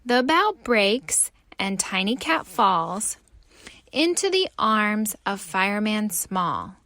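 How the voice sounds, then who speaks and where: A woman reading a story aloud for young children in an expressive, theatrical way. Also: medium pitch, takes it slowly, dry recording. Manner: animated, theatrical delivery.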